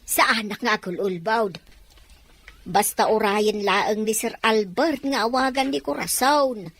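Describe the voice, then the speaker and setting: An actress with an Ilocano accent playing a deferential house servant who is anxious to please, in a drama. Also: female voice, on the high side, unhurried, fairly bright tone. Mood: nervous, defensive.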